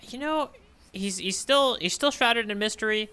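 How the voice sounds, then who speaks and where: A man's voice: sits high, normal speed, pushed and bright, clean tone. A man co-hosting a casual podcast discussion, thinking aloud and tentatively working through his ideas as he speaks. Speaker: podcast co-host, newcomer reader giving theories.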